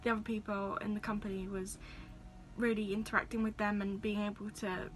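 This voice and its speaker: A woman's voice: mid-range voice, level tone, fairly quick. A young woman, reflecting on her youth theatre work in an interview style. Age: teens. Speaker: youth theatre company member.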